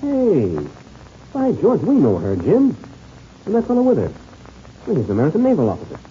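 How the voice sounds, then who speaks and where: A hearty, excitable grown man, a pilot in an old radio adventure serial. His pitch leaps about dramatically over a very dark tone. The old recording has a faint hiss. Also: brisk, dull audio. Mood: pleased surprise. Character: hearty, excitable adult American pilot, companion to a boy.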